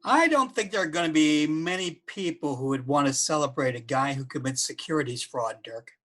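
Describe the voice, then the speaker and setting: An actor playing an informal, energetic event planner and old pal in a comedy radio play. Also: male voice, a little high, moderate pace. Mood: breezy, wry. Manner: casual, energetic.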